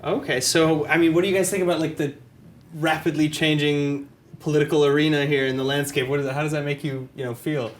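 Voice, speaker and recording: A man's voice: a little high, flat delivery. A man anchoring a news program, reading scripted political copy and then interviewing guests in the studio. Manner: brisk, reads scripted copy then chats loosely with guests.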